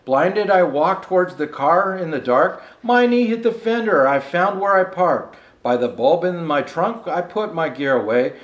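An older man playing a jolly old Santa Claus, gently reading a rhyming storybook aloud with chatty asides. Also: high voice, animated, unhurried, hardly any pauses, muffled recording. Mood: storytelling. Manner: gentle storyteller, showing pictures to listeners. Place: indoor room set with fireplace and tree. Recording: echoey room.

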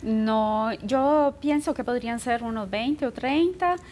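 A woman's voice: on the high side, takes it slowly, darkish tone, slight background noise. A middle-aged woman from Costa Rica answering interview questions in a careful, hesitant way with many fillers. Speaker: guest. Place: indoor TV studio set.

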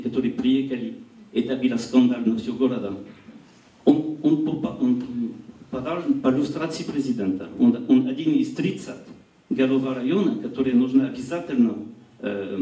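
Halting French-accented man, distant roomy mic. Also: medium pitch, warm tone. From France. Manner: halting, searching for words. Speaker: lecturer. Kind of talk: public lecture. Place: small gallery hall, indoors. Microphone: handheld mic. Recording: roomy hall recorded from afar.

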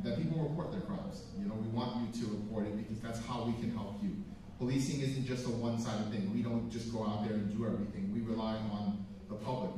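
A man's voice: on the low side, warm tone, recorded from a distance, a little hiss or hum. Earnest man in an echoey hall. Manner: earnest, plain-spoken, frequent uh and um. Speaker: police officer speaker. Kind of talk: community event speech. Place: large community hall stage. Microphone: handheld microphone. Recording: echoey hall, picked up from afar.

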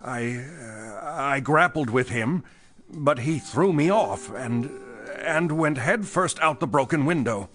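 An actor playing a frightened, defensive company boss in a pulp thriller audio drama, with a nervous, hesitant manner. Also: male voice, mid-range voice, animated, slow pace, a bit bright, soft background music. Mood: frightened, agitated. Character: frightened, shaken male chemical company boss under police questioning.

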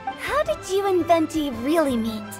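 A high, teasing teenage girl. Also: wildly swooping pitch, measured pace, music in the background, heavily acted. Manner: teasing, nosy. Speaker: game character.

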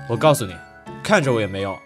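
A man acting in an audio drama as an excitable, stammering man who gushes over cute animals. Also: medium pitch, close to an everyday voice. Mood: flustered. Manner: stammering, gushing.

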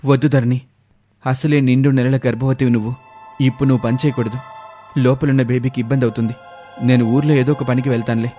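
A man voicing a gentle, sorrowful young husband in an animated story, speaking earnestly. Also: medium pitch, fast talker, long pauses, faint music behind, natural voice. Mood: concerned. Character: gentle, dejected young husband, honest and loving. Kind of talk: Telugu animated moral story dialogue.

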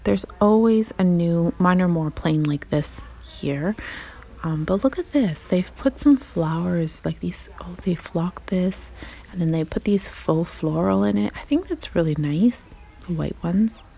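Lady whispering chatty little comments while she shops, slightly low voice, phone in hand in a big store. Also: lively intonation, a little slow, creaky. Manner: soft whispering, chatty and admiring. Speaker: vlogger. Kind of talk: whispered shop-with-me vlog. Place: inside a large craft store. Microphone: handheld phone camera.

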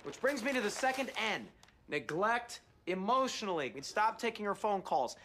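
An actor playing a smug, vain playboy who lectures methodically and pompously, as in a sitcom presentation. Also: male voice, sits high, very animated, moderate pace, bright, forceful tone, slight background noise, heavily acted. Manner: pompous, methodical, self-satisfied.

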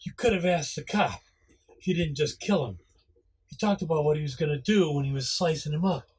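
A man's voice: a little high, expressive, fairly quick, darkish tone, husky. A man playing a wounded, defiant, sarcastic robber in an amateur radio play. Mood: earnest. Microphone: VHF radio play recording. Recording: faint background noise over marine radio.

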